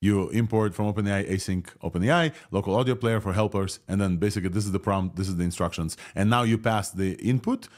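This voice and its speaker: Excited man with a Russian-Israeli accent. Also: on the low side, fast, few pauses. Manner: excited, rambling, laughs often. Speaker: tech show host. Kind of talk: live reaction video. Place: home room, indoors.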